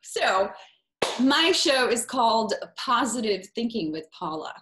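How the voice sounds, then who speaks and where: A woman's voice: a little high, very animated, measured pace, noisy background. A woman of Portuguese background hosting a talk show, asking questions in a friendly, chatty way. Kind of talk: interview.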